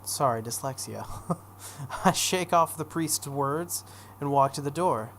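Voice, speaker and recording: A man's voice: on the high side, takes it slowly, fairly bright tone. Man reading aloud, noticeably noisy recording. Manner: stumbles over written text. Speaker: let's player reading a visual novel aloud. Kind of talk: narrated read-aloud with casual asides. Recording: noticeable background noise.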